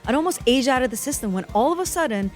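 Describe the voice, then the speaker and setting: A woman's voice: high voice, expressive, very fast, faint music behind. A woman playing an exasperated, rebellious young woman in a comic audio drama, sarcastic and emphatic. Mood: frustrated venting.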